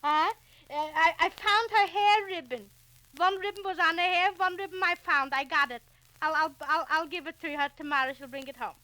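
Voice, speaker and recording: A woman playing a warm, flustered immigrant housewife and mother with a New York Yiddish accent and effusive, repetitive speech, in 1940s radio drama style. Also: very high voice, moderate pace, dry recording, low-quality recording. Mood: cheerful, gushing.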